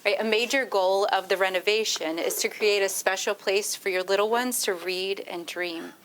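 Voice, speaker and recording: A cheerful woman librarian played by an actress addresses a story-time crowd in a polite announcer's manner. Her voice sits at an ordinary pitch, on a tape with faint noise. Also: close to an everyday voice. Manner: bright public-announcement delivery. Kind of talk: video game acting, event announcement.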